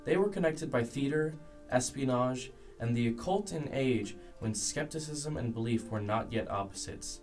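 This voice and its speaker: A man's voice: medium pitch, normal speed, few pauses, a little mellow, natural voice. A man narrating the scripted introduction to a radio play in a formal, tongue-in-cheek way. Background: plucked guitar music.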